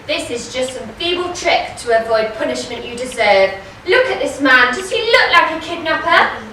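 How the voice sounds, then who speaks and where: Scornful panto sceptic female actor: animated, high, declamatory; noisy, echoey recording. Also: moderate pace. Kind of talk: pantomime stage acting. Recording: echoey hall, distant mic, clear noise.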